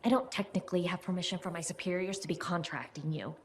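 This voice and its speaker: A woman's voice: on the low side, quick pace, close to an everyday voice. A woman playing a shy, earnest military sentinel in a video game, hesitant and socially clumsy. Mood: guarded. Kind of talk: naturalistic comic video game acting.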